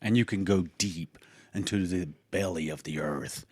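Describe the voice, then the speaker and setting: Man, podcast co-host, low pitch, dry recording, playful casual chat. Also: moderate pace, a little mellow, slightly rough, no room sound. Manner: joking asides, playful tangents.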